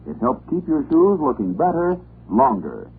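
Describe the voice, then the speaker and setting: A man's voice: a little high, big pitch swings, normal speed. A man reading a scripted 1940s radio advertisement as the network announcer. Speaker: commercial announcer. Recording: tinny old radio recording, faint hum.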